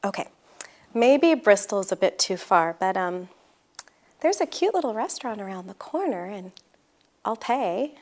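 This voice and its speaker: A woman's voice: mid-range voice, big pitch swings, a little slow, pauses often, a little mellow. An actress playing an eager young woman who talks in a bubbly, gushing way.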